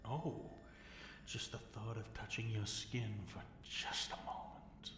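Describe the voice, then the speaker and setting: A man's voice: lowish voice, flat delivery, takes it slowly. A man performs a captive, half-mad dragon king in an intimate fantasy audio roleplay. He speaks very unhurriedly in a theatrical, seductive purr, teasing and possessive, slipping into murmured asides. The sound is roomy and echoing, with a faint noise underneath. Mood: obsessed, tender.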